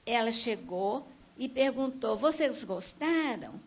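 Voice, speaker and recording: A Brazilian woman speaks up from the audience with a slightly high voice, warmly sharing an old memory. The recording is dull and lo-fi. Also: lively intonation, takes it slowly, vocal fry. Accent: Brazilian Portuguese. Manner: fond, recounting a memory. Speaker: audience member. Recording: muffled lo-fi recording.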